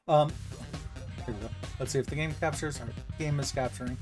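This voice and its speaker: A man streaming from home, chatting casually and rambling through shout-outs and plans with many pauses and restarts. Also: medium pitch, music in the background. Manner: rambling, lots of ums and restarts. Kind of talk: casual livestream chat.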